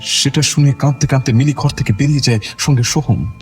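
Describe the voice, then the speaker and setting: An actor playing a proud veteran film star under suspicion, measured and self-assured but curt, in an audio drama. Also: male voice, mid-range voice, a little mellow, faint music behind, close to an everyday voice. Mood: measured recounting. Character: proud veteran film star, a controlled, irritated murder suspect. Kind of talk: Bengali audio drama acting.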